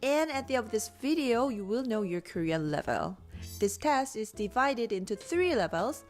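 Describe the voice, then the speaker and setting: A woman's voice: fairly high pitch, very animated, moderate pace, faint music behind. A woman narrating a language quiz video in an upbeat, instructional way.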